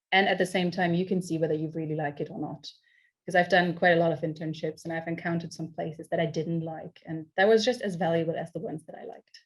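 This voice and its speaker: A young woman with a lowish voice speaks over a video call. She gives earnest, encouraging advice as a panel speaker. Her delivery is casual and direct.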